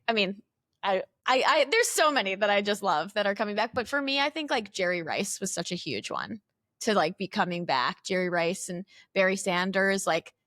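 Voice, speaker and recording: A mid-pitched woman with a Chicago accent, talking casually as an interview guest. She rambles, restarts and fills with "like" and "you know". The sound is dry and close to the mic. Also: a bit bright, no echo. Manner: chatty and rambling, many fillers and restarts. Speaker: guest, company CEO.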